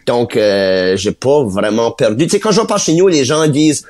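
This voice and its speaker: Casting: Acadian French man, podcast guest, loose halting delivery, easy laugh. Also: medium pitch, lively intonation, darkish tone. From Moncton, New Brunswick. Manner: halting, repeats words, laughs easily. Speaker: guest singer-songwriter. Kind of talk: casual podcast chat.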